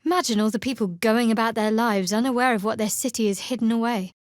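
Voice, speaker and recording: Woman acting as young dark-faith cleric, fantasy game companion, cool and sardonic, slightly high voice, very dry recording. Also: fast, no echo, natural voice. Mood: dry, teasing. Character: guarded, wry young female cleric of a dark goddess. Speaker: video game companion character.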